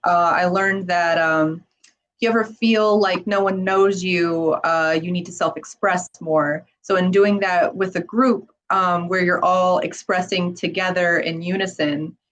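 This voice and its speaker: A woman hosts a relaxed band interview in an upbeat way. She often pauses with "um" and "uh" while she works out her questions. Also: fairly low pitch. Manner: upbeat, hesitant, many fillers. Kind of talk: casual interview.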